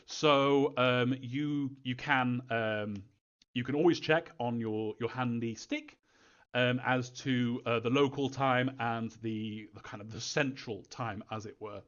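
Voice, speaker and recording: A man answering fan questions in an informal live Q&A, thinking aloud in a halting, rambling way, as a developer. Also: mid-range voice, a bit bright, highs cut off.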